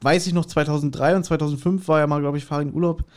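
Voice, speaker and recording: A man's voice: on the high side, fairly even intonation, quick pace. A man hosting a casual podcast chat, telling stories in a rambling, joking way. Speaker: podcast host.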